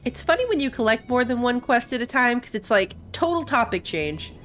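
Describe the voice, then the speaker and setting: Animated woman doing let's play commentary. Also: on the high side, fairly quick, faint music behind. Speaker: gaming video host.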